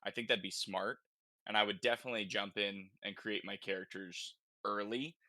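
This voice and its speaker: A man's voice: on the low side, steady tone, moderate pace, sharp tone, no echo. A man hosting a casual gaming podcast, talking informally and thinking aloud as he goes. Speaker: podcast host.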